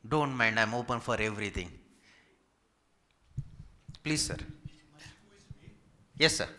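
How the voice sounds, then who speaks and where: Bright-voiced Indian man presenting as a physiotherapist. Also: medium pitch, expressive, takes it slowly, slight background noise. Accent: Indian, Telugu. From Hyderabad, India. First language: Telugu. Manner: repetitive, polite, emphatic listing. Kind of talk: informal medical presentation with audience questions.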